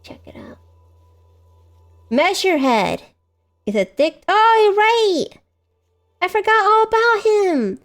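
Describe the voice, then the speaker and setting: A young woman live-streaming a video game, giggly and animated as she reacts and chats. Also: extremely high pitch, slow, long pauses.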